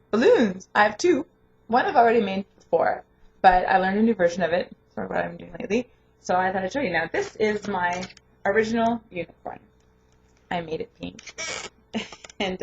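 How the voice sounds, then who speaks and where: A young woman with a lingering hoarse voice, chatting casually and rambling in a home vlog, laughing often. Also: mid-range voice, lo-fi sound. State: hoarse, voice not fully recovered. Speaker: vlogger and home daycare provider. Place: her home, indoors.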